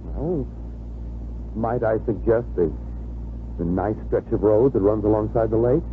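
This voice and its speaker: A man's voice: fairly low pitch, measured pace, lightly acted. An American man playing a confident, wry, teasing young fiancé in 1950s radio drama style. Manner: wry, bantering. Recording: muffled vintage radio recording, faint hiss.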